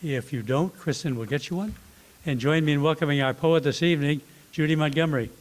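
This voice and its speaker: Higher-voiced man introducing a poet. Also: a little hiss or hum, low-quality recording. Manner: measured, formal introduction. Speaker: host of a poetry series. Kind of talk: reading a prepared introduction. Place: library event room.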